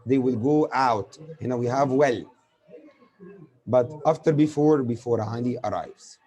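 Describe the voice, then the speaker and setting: Mellow-voiced Egyptian man teaching English. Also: mid-range voice, unhurried, poor audio. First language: Arabic. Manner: didactic, asks and answers own questions. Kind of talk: spoken lesson explaining with examples.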